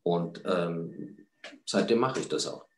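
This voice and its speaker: A man's voice: low-pitched, slow pace, reverberant, slight background noise. A man giving direct, matter-of-fact interview answers over a video call.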